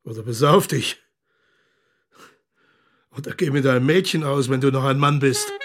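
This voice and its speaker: An actor in a German radio drama playing a vengeful, domineering, stocky old Nazi patriarch with a hoarse, hateful, commanding delivery. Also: male voice, mid-range voice, moderate pace, lightly acted.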